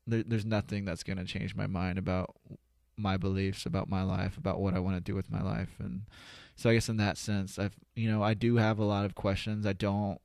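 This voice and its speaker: A man talking candidly and reflectively as a podcast guest, haltingly, often falling back on "you know". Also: sits low, flat delivery, vocal fry, darkish tone, no echo. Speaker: interview guest, spoken-word poet. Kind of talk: casual, candid podcast conversation.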